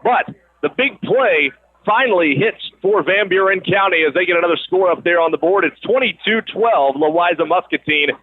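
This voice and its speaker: A man doing live radio play-by-play of high school sports in a conversational announcer's style, on a broadcast mic. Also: expressive, normal speed, no room sound, narrow, tinny audio. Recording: band-limited radio broadcast sound, gym or field noise.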